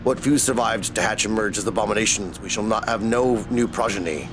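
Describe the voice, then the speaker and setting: A man's voice: on the low side, music in the background, pitched below the performer's own voice, close to an everyday voice. Man voicing an ancient world-weary dragon, solemn. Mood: grave, resigned. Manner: slow, solemn, archaic storyteller delivery. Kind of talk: dramatic reading of video game dialogue.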